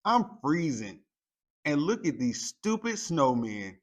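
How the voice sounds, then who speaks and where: A man's voice: a little high, very animated, slow pace, full character voice. Man as grumbling, scornful naughty child. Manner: muttering, scornful complaining. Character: grumbling, neglected naughty children trying to seem tough.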